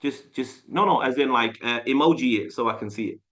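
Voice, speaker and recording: A man with a bright, forceful voice leads a live online sales class over a call. He talks in an animated, loose way, repeating himself and peppering his talk with "like" and "uh". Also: mid-range voice, a little fast. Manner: animated, repeats phrases, frequent "like" and "uh". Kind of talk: casual live coaching session. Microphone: video call mic.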